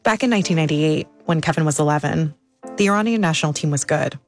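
A woman reporter narrating a documentary news story in a measured, scripted way. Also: deep voice, soft background music.

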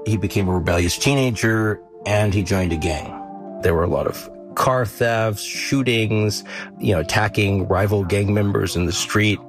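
A man reporting a story on a news podcast, explaining it in a measured, storytelling way. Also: lowish voice, music in the background.

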